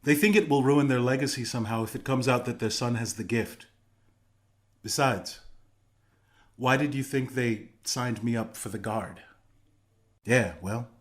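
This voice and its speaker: A man's voice: medium pitch, measured pace, long pauses, lightly acted. Earnest young rebel griffin, acted by a man. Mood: resentful, then grim, confiding. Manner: conversational, plainspoken, earnest. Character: earnest griffin rebel, male former soldier plotting against a cult. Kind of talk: video game character dialogue.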